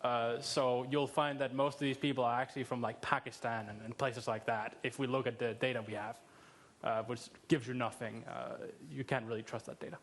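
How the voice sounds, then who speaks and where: A man's voice: medium pitch, little pitch movement, a little fast, fairly bright tone. A man on a developer panel adding a dry, matter-of-fact remark.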